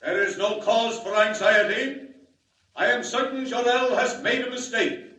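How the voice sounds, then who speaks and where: A man's voice: extremely high pitch, steady tone, a little slow, pushed and bright, distant mic, exaggerated voice. A man acting a pompous, dismissive alien council leader in a 1940s radio drama, oratorical and placating. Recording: dull, echoey 1940 radio broadcast.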